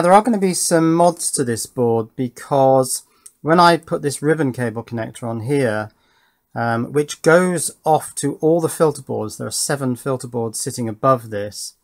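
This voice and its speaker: A man's voice: medium pitch, no echo, clean studio sound. A middle-aged man giving casual, matter-of-fact hands-on narration as an electronics hobbyist, musing aloud as he goes. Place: indoor home workbench.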